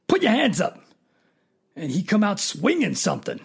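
A man playing a dazed, plainspoken tough guy in a radio drama, with a creaky, raspy voice and halting, ungrammatical speech. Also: high voice, wildly swooping pitch, heavily acted. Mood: confused, defensive.

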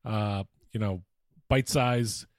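A man's voice: lowish voice, steady tone, slow pace, no echo, clean studio sound. A man co-hosting a podcast in casual chat, joking and swearing freely. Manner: profane, joking. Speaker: podcast co-host.

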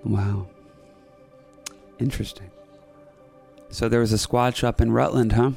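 A man's voice: medium pitch, fairly even intonation, a little slow, gravelly, darkish tone, breathy edge, faint music behind. A man co-hosting a podcast, asking playful, goofy questions. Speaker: podcast co-host. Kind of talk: casual chat.

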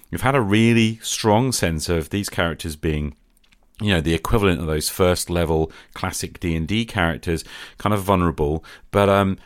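A middle-aged man with a low-pitched voice, recorded close and dry. He hosts a podcast, chatting informally and with enthusiasm, as if to regular listeners. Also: no room sound. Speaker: podcast host. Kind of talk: casual solo podcast talk.

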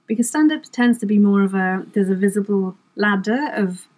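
A woman's voice: medium pitch, animated, normal speed, darkish tone. A middle-aged woman from Wales, whose first language is Welsh, chatting as a guest about her writing work, self-deprecating and laughing often. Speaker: comedy writer, guest. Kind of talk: casual interview chat.

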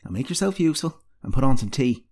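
A man's voice: mid-range voice, animated, fairly quick, creaky, darkish tone, pitched above the performer's own voice. A man voicing an old female healer, a wry and stern but tender mistress with a dry, teasing, commanding way of talking. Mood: bossy, sardonic. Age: older.

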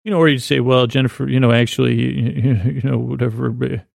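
A man hosting a podcast, talking in a slow, meandering, lulling way as he drifts from thought to thought. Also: mid-range voice, steady tone, creaky, darkish tone, no room sound, clean studio sound. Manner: slow meandering soothing delivery. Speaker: podcast host. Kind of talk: rambling sleepy podcast intro monologue.

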